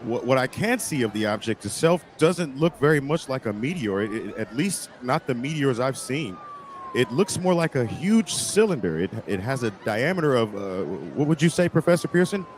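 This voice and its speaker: A man's voice: medium pitch, big pitch swings, normal speed, a little hiss or hum, natural voice. An actor playing a flustered male radio reporter on the scene, stammering and repeating words in an old-time radio drama. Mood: awed, flustered.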